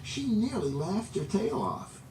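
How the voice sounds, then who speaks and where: A man reading a children's story aloud in an expressive, dramatic way, drawing out words for emphasis. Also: sits high. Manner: animated, dramatic, drawn-out emphasis. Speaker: storybook reader. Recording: roomy, distant, noisy amateur recording.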